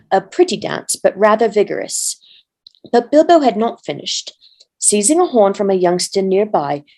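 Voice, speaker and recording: A woman with a medium-pitched voice narrates a fantasy tale. She reads aloud in a measured way, as a volunteer storyteller would. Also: darkish tone. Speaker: volunteer reader.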